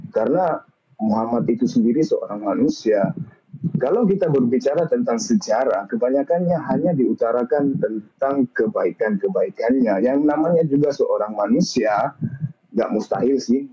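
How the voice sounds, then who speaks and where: A man's voice: mid-range voice, dark tone, husky. A man from Malaysia explaining his views in an informal religious discussion, in an agreeable, reflective way. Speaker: discussion participant. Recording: dull lo-fi call-like audio.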